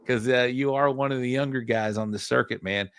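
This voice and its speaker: A man's voice: medium pitch, a little fast, a bit bright, smooth, dry recording. A middle-aged man hosting a podcast, talking in a friendly way and often calling people "man" and "bro". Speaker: podcast host. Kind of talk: casual podcast interview.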